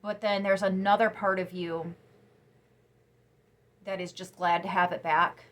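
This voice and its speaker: A young woman giving a casual tarot reading to camera, chatty and emphatic, sniffly after crying, her voice turning soft when she muses. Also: mid-range voice, steady tone, a little slow. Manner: chatty, emphatic, drops to soft musing asides. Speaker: tarot reader.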